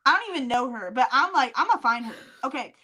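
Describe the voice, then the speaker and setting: A woman's voice: high voice, expressive, fast, crowd noise. A woman co-hosting a podcast in casual comedic chat, telling stories emphatically, with swearing and repeated phrases for effect. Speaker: podcast co-host.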